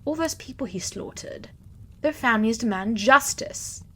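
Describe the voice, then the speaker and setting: A woman's voice: on the high side, animated, normal speed, bright, forceful tone, breathy edge, heavily acted. An actress playing a cold, unyielding female judge of the afterlife who demands justice. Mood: stern.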